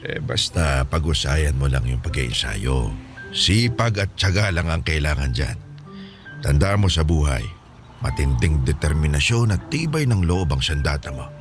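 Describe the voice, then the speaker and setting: A man's voice: extremely low pitch, sing-song, moderate pace, over music. A man playing a kindly, folksy elderly grandfather in a Filipino radio drama. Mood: encouraging, playful. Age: older. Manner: encouraging, folksy, chuckling teacher. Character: kindly elderly grandfather, former village basketball player.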